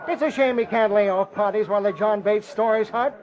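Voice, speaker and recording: An actor playing an overworked, grumbling city editor in an old radio drama, exasperated and emphatic. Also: male voice, sits high, a little fast, noisy background, natural voice. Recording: dull lo-fi vintage radio recording.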